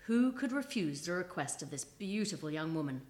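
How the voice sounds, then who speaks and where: A woman's voice: on the low side, very animated, moderate pace, a little mellow. A woman narrating a scripted historical story as a storyteller, with a dramatic, suspenseful delivery.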